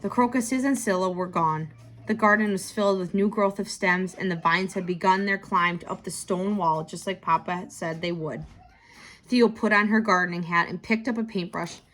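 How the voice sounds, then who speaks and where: A woman narrating a picture book for children, expressive and deliberate, lingering on key words. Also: lowish voice. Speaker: tutor reading a children's book aloud.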